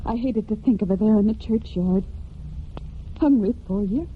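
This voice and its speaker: A woman's voice: medium pitch, moderate pace, slight background noise, close to an everyday voice. An actress playing an earnest, steadfast young farm woman in a 1940s radio drama. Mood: pleading. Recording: dull 1940s radio broadcast.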